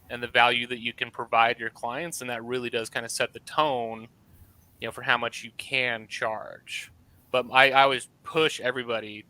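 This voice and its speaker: A man's voice: medium pitch, pushed and bright, rough recording. A man who runs a landscaping business, talking casually on a video call panel with lots of fillers. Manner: conversational, with frequent fillers.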